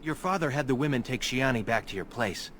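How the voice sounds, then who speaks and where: Fantasy game cousin: male actor as hopeful young elf man, mid-pitched with lively inflection, faint ambience behind. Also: fairly quick, a crowd in the background, lightly acted. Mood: grateful, warm. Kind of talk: fantasy video game voice acting.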